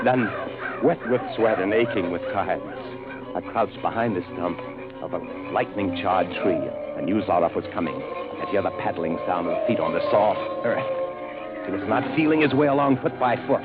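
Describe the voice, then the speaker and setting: A man's voice: fairly low pitch, natural voice. An actor playing a desperate but resolute hunted man, narrating his ordeal with dramatic urgency in a vintage radio suspense drama. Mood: tense, dread. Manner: intense, dramatic, breathless urgency with clipped phrases. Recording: tinny old radio broadcast, loud music behind.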